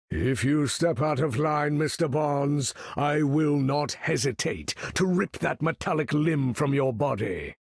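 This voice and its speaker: A male actor playing a proud aging mutant leader in a video game, with a gravelly, husky voice and a grand, formal, commanding manner. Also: on the high side, no room sound, studio quality, exaggerated voice. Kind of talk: video game character voice acting.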